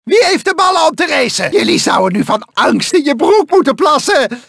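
A tiny, manic cyborg mad scientist from a cartoon video game. The voice is extremely high and gravelly, lurching wildly between self-pitying mutters and villainous shrieks. It is a very dry, close recording. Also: male voice, big pitch swings, moderate pace, fairly bright tone, no room sound, heavily acted. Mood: menacing, boastful. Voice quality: shrill, strained, gravelly.

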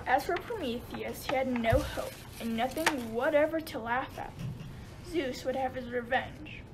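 A woman's voice: high voice, measured pace. A woman narrating a radio play in scripted storytelling style. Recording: noisy amateur recording.

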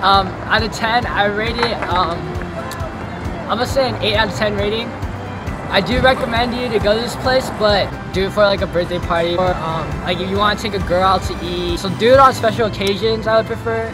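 A man's voice: high voice, fairly even intonation, a little fast, poor audio. A teenage boy casually rating a restaurant in plain, simple sentences. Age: teens. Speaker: student reviewer. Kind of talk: casual food review. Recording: loud music and crowd behind.

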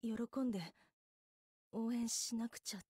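Soft, mellow, gallant young woman. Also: a little high, fairly even intonation, unhurried, husky, no echo, studio quality. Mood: troubled, apologetic. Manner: polite, chivalrous, gently reassuring. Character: tall, composed, gentle, princely young woman idol. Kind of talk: Japanese mobile game visual novel acting.

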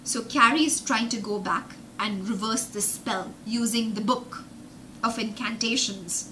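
A young woman telling a story as a children's teacher, dramatic and emphatic, pausing to explain words with synonyms. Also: on the high side, pushed and bright, slight background noise, rough recording. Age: young adult. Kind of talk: animated storytelling lesson with explanations. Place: indoor room with glass-fronted bookshelves.

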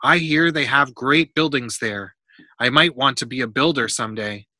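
A curious storybook boy, read out by a grown man, earnest and full of questions. The voice is bright and crisp, recorded dry. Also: mid-range voice, normal speed, dry recording. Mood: curious, eager. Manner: inquisitive, earnest. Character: curious, eager ancient Greek boy in a storybook.

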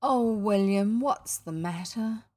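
A woman's voice: medium pitch, slow, darkish tone. A woman voicing a polite, anxious mother in a storybook, hesitant and fussing as she speaks. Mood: concerned.

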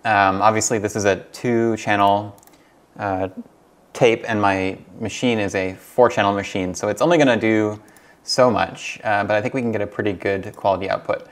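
Young adult male host, lowish voice, informal how-to delivery, thinking out loud. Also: fairly even intonation, moderate pace. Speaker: hobbyist host demonstrating tape transfer. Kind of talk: casual tutorial vlog talk. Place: small furnished rooms at home, indoors.

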